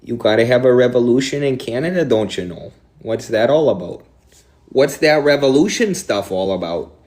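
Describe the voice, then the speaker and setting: A man's voice: mid-range voice, animated, moderate pace, darkish tone, pitched above the performer's own voice, lightly acted. A man voicing a hearty Canadian lumberjack rallying his crew, in an exaggerated Upper Midwest accent with a folksy sing-song lilt. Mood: jokey rallying.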